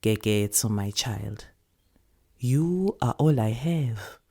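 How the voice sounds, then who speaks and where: A woman's voice: rumbling low, lively intonation, slow, warm tone, breathy edge, heavily acted. A woman voicing a weary, loving old village grandmother, coaxing and teasing as she savours her words. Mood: tender, weary. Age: older. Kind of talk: storybook character voice.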